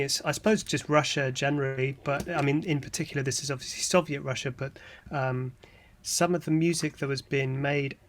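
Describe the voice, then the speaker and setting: A man in a casual interview. His voice sits at a middling pitch, and he talks in a hesitant, modest way, as a composer discussing his work. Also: a bit bright. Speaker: composer interviewed about an audio drama.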